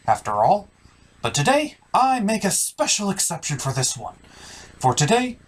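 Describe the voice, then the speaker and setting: A comedy host narrates a scripted movie recap in a theatrical manner. The delivery is exaggerated, with pitch that swings widely from phrase to phrase. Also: husky.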